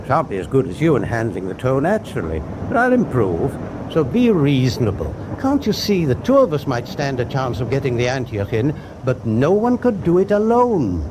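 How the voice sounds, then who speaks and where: Plucky British naval doctor. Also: male voice, mid-range voice, wildly swooping pitch, moderate pace, background noise. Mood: persuasive. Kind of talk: wartime radio drama acting. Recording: clear ship ambience behind voice.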